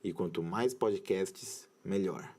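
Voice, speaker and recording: A man's voice: low-pitched, slow pace, mellow. A man with a Brazilian accent, hosting a podcast in scripted narration. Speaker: podcast host and creator.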